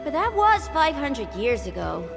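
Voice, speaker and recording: A woman voicing a lively young girl of a tribe in a game, telling a legend in a playful, dramatic way. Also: unhurried, vocal fry, in a large hall, over music, heavily acted. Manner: playful, dramatic storytelling with big pitch swings. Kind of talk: game dialogue voice acting.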